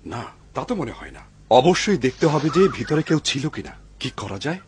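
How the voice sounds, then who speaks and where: Humble, devout cartoon woodcutter, played by a man: expressive, lively pitch swings, cautious and kind, audible background noise. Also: moderate pace, close to an everyday voice. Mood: astonished, wary. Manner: expressive, musing aloud to himself. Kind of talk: dubbed cartoon voice acting. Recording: clear background noise behind the voice.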